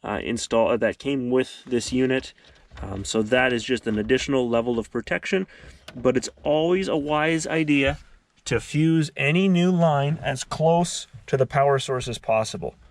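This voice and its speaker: A man's voice: medium pitch, moderate pace. A man narrating a casual hands-on DIY tutorial in a methodical, explanatory way. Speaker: host of a DIY car repair tutorial.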